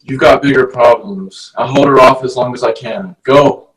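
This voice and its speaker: A man's voice: medium pitch, moderate pace, echoey room, distant mic, natural voice, rough recording. A man reading a stern fish-creature guardian. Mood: urgent. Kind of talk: table read.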